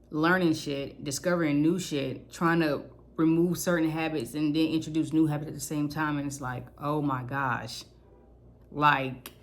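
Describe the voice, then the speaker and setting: A young woman with a naturally deep voice, rambling candidly through a personal vlog and cursing casually. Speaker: vlogger.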